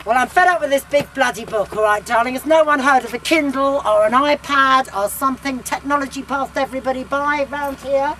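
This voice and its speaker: A woman's voice: sits high, a little fast, hardly any pauses, fairly bright tone, a little hiss or hum, poor audio. A woman playing a brash, irritable narrator in a comedic book reading, sardonic, bossy and blunt. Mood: irritated. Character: brash, irritable sweary woman musing on past lives.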